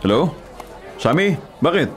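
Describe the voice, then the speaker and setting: An actor playing a caring, hardworking Filipino delivery rider, earnest and coaxing, in a radio drama. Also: male voice, on the high side, big pitch swings, unhurried, soft background music, some chatter behind. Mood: reassuring.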